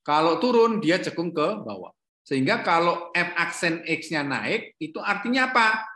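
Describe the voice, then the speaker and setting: A man's voice: on the high side, pushed and bright. A middle-aged man teaching a calculus lesson online, asking questions and correcting himself as he goes. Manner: questioning, self-correcting, repeats "ya". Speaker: lecturer. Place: home room, not office. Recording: echoey room.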